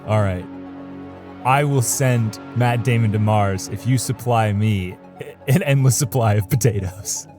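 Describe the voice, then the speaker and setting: A man's voice: lowish voice, a little slow, music in the background. A man in an improvised comedy cast, talking in a loose, casual style.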